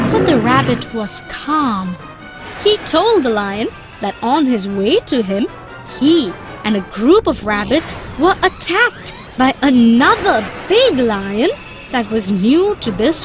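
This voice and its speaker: A woman playing a patient, instructive mother who tells moral stories to children in a cartoon. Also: a little high. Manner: deliberate, instructive. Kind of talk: scripted children's storytelling.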